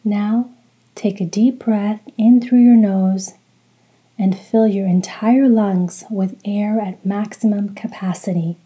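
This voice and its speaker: A woman leading a guided meditation in a soft, breathy voice, calm and deliberate, speaking in short soothing phrases. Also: medium pitch. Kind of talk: slow guided meditation with religious recitation.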